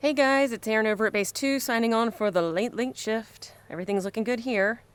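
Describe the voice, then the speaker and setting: A woman's voice: fairly high pitch, a little fast, smooth, dry recording, natural voice. A woman acting an anxious, dutiful night-shift radio operator at a reactor base in an audio drama, calling out in radio procedure. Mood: bored, routine. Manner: radio-procedure call-outs, increasingly urgent.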